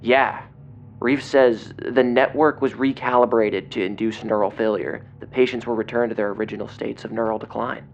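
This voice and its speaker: A man playing an agitated investigator in an audio drama, speaking in a grave, halting, intense way over a phone. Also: lowish voice, fairly even intonation. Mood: grim agitation. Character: agitated male investigator relaying grim secret documents by phone. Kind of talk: audio drama acting, partly reading documents aloud. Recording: dull lo-fi phone call, clear noise.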